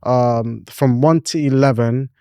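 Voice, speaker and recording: A man's voice: mid-range voice, steady tone, takes it slowly, dark tone, smooth, no echo, studio quality. A man hosting a casual podcast interview, rambling in a laid-back way with many fillers. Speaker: podcast host.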